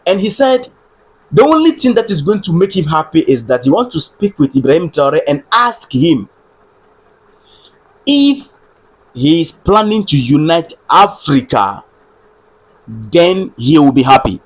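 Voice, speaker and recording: Ghanaian guy on a livestream, kinda husky, talks a bit high, keeps repeating himself and checking his mic. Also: very animated, measured pace, long pauses, rough recording. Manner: earnest, repeats phrases, checks on his audio with viewers. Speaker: live stream commentator. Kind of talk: solo livestream political commentary.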